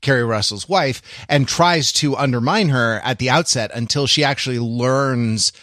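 A man speaks at a middling pitch in a dry recording with little room sound. He offers casual podcast commentary as a co-host, halting and circling back to rephrase his thoughts. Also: fairly bright tone, no room sound.

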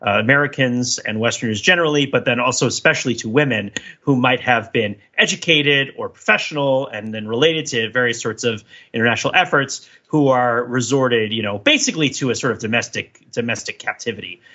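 A man's voice: medium pitch, fairly quick, few pauses, pushed and bright, muffled recording. A man co-hosting a podcast, talking casually and analytically in a wordy, emphatic way and often checking in with "right?". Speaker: podcast co-host discussing a TV series. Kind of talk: casual analytical discussion among co-hosts.

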